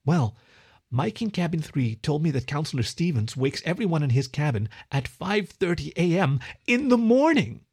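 A man's voice: fairly high pitch, very animated, moderate pace, vocal fry, a little mellow, husky, voice pushed up, exaggerated voice. A man voicing an awkward, indignant young fox boy who stammers and protests in a storybook. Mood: eager.

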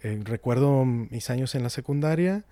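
Measured man, light northern Mexican accent. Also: medium pitch, animated, warm tone, no echo. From Mexico, Monterrey area. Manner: thoughtful, measured, hedging. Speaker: guest, nonprofit outreach manager. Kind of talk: casual interview chat.

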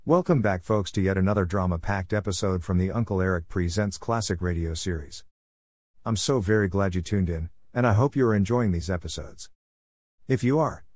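A man's voice: fairly low pitch, darkish tone, no echo, clean studio sound. A man hosting a podcast, reading a scripted introduction. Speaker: podcast host.

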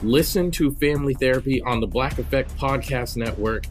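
A man's voice: mid-range voice, moderate pace, soft background music. A man hosting a podcast, reading a scripted promo. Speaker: podcast host.

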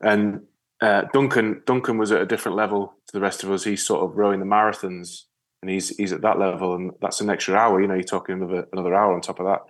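Low-voiced northern English man, halting and conversational. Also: flat delivery. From Yorkshire, England. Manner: rambling, halting delivery with repeated words. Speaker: interview guest, ocean rower and spearfisher. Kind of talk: casual conversational storytelling.

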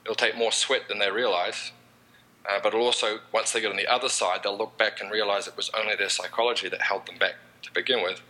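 A man's voice: on the low side, steady tone, quick pace, bright, forceful tone, poor audio. A man with a New Zealand accent, a podcast guest talking in a rambling, easygoing way. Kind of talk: casual interview chat.

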